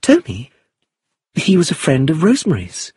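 A man's voice: a little high, wildly swooping pitch, normal speed, a little mellow, husky, dry recording, studio quality, voice pushed up, full character voice. A man voicing an earnest, emotional young woman of means in an audiobook. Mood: indignant. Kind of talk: audiobook character voice.

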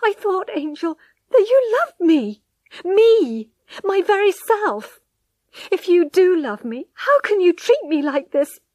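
A woman voicing a distraught young wife who pleads with her husband in a high, loud voice. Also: sing-song, slow pace, no echo, lo-fi sound, heavily acted. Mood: pleading.

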